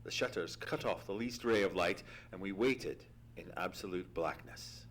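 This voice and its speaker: A man playing an earnest gentleman sidekick who narrates a mystery with theatrical, deliberate storytelling in an old-time radio play. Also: low-pitched, lightly acted. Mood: measured suspense. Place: theatre stage. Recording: humming, noisy live theatre recording.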